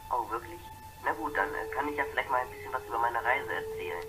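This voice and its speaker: A man's voice: medium pitch, lively intonation, quick pace, music in the background, lightly acted, rough recording. A man playing a chatty, scatterbrained newcomer locomotive, rambling casually and vaguely in a cartoon fan dub. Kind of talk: improvised-sounding cartoon fan dub acting.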